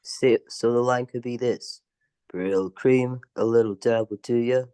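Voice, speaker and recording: A man's voice: mid-range voice, fairly even intonation, measured pace, warm tone, smooth, lightly acted. A man playing a helpful, keen newsroom employee in an old-time radio comedy.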